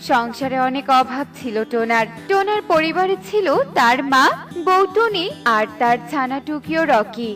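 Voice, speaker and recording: Woman narrator for children's tales: high, bright delivery with soft music underneath. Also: expressive, normal speed. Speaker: story narrator. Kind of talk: scripted children's story narration. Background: faint music.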